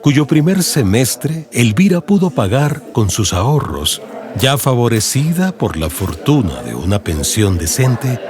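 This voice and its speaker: A man with a Colombian accent narrating a literary radio drama audiobook in a measured storytelling delivery, with music behind him. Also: medium pitch, big pitch swings. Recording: music bed under narration.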